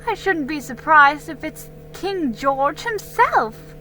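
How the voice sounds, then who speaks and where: A woman voicing a kindly, busy colonial mother in a storybook, warm, exclaiming and gently bossy. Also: high voice, very animated, takes it slowly, soft background music, voice pushed up, exaggerated voice, rough recording. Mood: amused.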